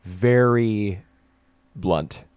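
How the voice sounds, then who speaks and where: Low-voiced man chatting about anime. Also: very slow, darkish tone, clean tone, no echo. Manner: chatty, analytical, frequent fillers and restarts. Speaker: podcast co-host. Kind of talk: casual anime discussion.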